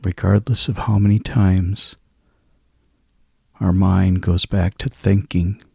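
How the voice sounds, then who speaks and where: A man leading a guided sleep meditation in a soft, hushed, soothing and husky voice, with long pauses between phrases. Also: deep voice, flat delivery, no echo, studio quality.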